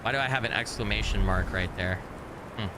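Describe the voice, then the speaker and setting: A man's voice: lowish voice, a little fast, background noise. A man from the United States chatting casually with viewers while streaming a game, with a faint regional accent and a rambling way of talking that restarts sentences often. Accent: faint American regional. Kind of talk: casual gameplay chat with viewers.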